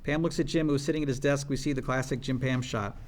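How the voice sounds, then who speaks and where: Fast-talking man reading stage directions. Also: medium pitch, steady tone, a little mellow. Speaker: stage-direction reader at a script table read. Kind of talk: scripted narration read aloud.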